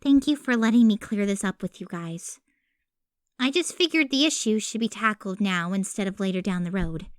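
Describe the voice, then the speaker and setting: A woman's voice: mid-range voice, normal speed, no room sound, studio quality, lightly acted. A woman voicing an earnest, wise pony princess and aunt who speaks patiently and moralises. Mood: gentle. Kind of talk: animated fan audio drama.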